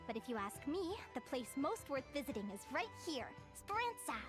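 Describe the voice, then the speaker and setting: A woman's voice: sits high, very animated, normal speed, over music. A woman voicing an eager, bubbly young guild advisor who lectures with gushing enthusiasm, in a video game. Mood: chatty. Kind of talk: game voice acting. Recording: game soundtrack behind.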